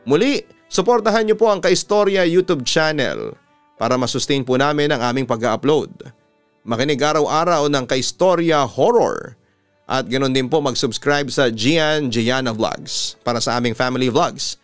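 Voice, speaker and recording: A man hosts a storytelling program with a smooth, resonant voice. He reads listeners' letters earnestly and with drama, like a practised radio announcer. Soft music plays beneath him. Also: medium pitch, lively intonation, moderate pace. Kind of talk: dramatic letter reading and heartfelt narration. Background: music under the voice.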